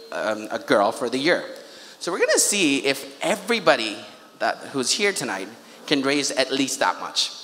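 A man works an audience as a fundraiser host. His voice is bright and forceful, and he talks conversationally, checking in with questions. It comes over a hall PA with long reverb. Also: a little high, slight background noise. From Pakistan (Karachi). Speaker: charity event host and fundraiser. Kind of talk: informal stage address to an audience. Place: large auditorium hall on stage. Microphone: handheld microphone through PA.

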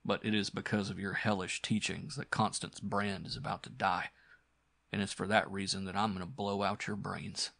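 A man's voice: low-pitched, flat delivery, moderate pace, gravelly, fairly bright tone, no room sound, studio quality, exaggerated voice. A man voicing a grim, vengeful adventure hero in an audiobook, speaking in a chilly, unemotional, threatening way. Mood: cold menace. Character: grim vengeful armed male adventure hero, coldly furious.

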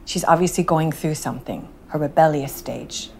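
A woman playing a strict, exasperated mother of teenagers who scolds dramatically, her voice climbing high when she is upset. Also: normal speed, creaky, slight background noise. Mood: scheming. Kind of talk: comedy sketch acting.

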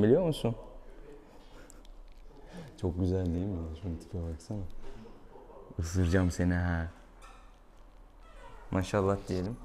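A man's voice: sits low, mellow. A young man, a veterinarian chatting casually and playfully coaxing a pet as he works. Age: young adult. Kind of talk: casual chat while treating an animal. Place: small tiled veterinary exam room. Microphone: camera a few steps away. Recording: noisy room, camera mic at distance.

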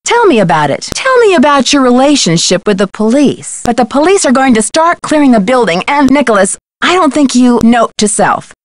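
A woman's voice: high voice, sing-song, brisk, few pauses, dry recording. A woman playing a plucky, determined young amateur detective in a video game, earnest and inquisitive. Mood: curious, businesslike. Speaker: voice actress in a detective adventure game. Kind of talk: scripted video game dialogue.

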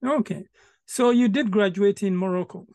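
High, slow, gravelly older male host. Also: no room sound, clean studio sound. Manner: steady stream of soft backchannels. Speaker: host, interviewer. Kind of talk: casual video-call interview. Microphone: video call.

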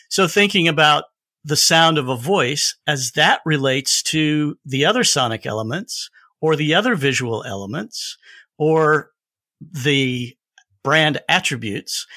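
A man in middle age gives a thoughtful, conversational explanation as a podcast guest. His tone is bright and forceful, with a very dry, close sound. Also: medium pitch, no room sound. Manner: measured, deliberate, thoughtful. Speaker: podcast guest, audio branding expert.

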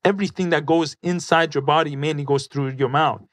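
Man explaining eagerly, slightly high voice. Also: steady tone, fairly quick, no room sound, clean studio sound. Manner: enthusiastic, explanatory, salesy. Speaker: dentist guest. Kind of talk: casual chat. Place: colorful studio set. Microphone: studio mic on boom arm, headphones.

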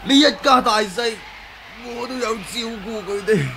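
A terrified sect leader, wails and pleads in a very high, gravelly voice. He is crushed by guilt. It comes from an old radio drama with a noisy recording. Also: normal speed, pushed and bright, exaggerated voice. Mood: guilty, pleading. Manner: wailing, pleading, groaning. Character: guilt-stricken, terrified male sect leader begging for mercy. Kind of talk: 1980s Cantonese radio drama acting.

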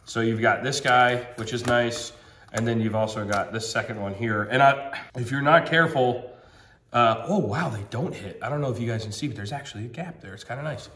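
A man's voice: medium pitch, a little fast, fairly bright tone, reverberant. A man in his thirties with a slightly nasal voice from a cold, reviewing a product in a chatty, upbeat way at a table at home. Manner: chatty, upbeat, thinks aloud with ums.

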